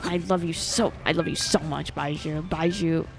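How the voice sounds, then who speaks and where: A woman livestreaming a game with casual, playful, giggly and expressive reactions. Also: on the low side, slow pace, gravelly, soft background music.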